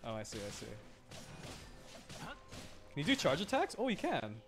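Young man, very animated gaming streamer. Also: fairly high pitch, normal speed, a little hiss or hum. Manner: muttering to himself, exclaiming in frustration. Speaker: streamer playing a video game. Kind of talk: casual live gaming commentary.

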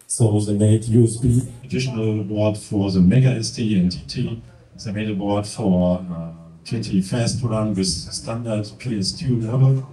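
A middle-aged German-accented man gives a slow, hesitant slide talk in a conference hall. His voice is low and very dark, and it rings in a roomy, echoey space a little off the mic. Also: distant mic. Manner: hesitant, halting non-native English with many fillers. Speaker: conference presenter. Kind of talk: presenting slides at a tech talk. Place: large conference hall with projector. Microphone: handheld mic.

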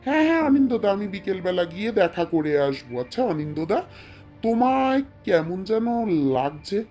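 A man's voice: sits high, big pitch swings, normal speed, a little mellow, faint music behind. A man voicing a chatty, anxious neighbour girl in a cartoon, eager and full of questions. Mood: friendly.

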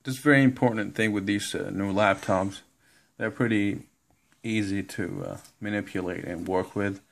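A man giving an informal step-by-step computer tutorial in a halting way, reading out text as he goes. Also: fairly low pitch, fairly even intonation. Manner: halting, instructional, reads out on-screen text. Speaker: tutorial presenter. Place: indoor room, filming a laptop screen.

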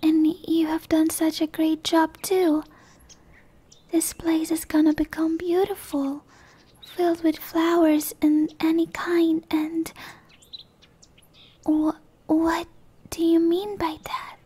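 A timid tree dryad, played by a woman for an ASMR roleplay. Her whispered voice is tiny and very high, gentle and soft-edged. She speaks extremely slowly, stumbling bashfully over her words. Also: level tone. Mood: flustered, bashful. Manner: hesitant, stammering, apologetic, slow.

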